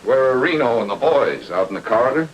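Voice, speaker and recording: A man's voice: medium pitch, big pitch swings, a little fast, highs cut off, slight background noise, lightly acted. A man playing a sardonic, probing private eye in a film noir radio drama, terse and wry. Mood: needling.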